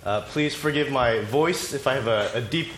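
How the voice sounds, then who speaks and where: A man preaching a sermon in short phrases, pausing for an interpreter, while battling a cold. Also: mid-range voice, a bit bright, a little hiss or hum. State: has a cold. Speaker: pastor. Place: church sanctuary. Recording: echoey church hall with faint ambience.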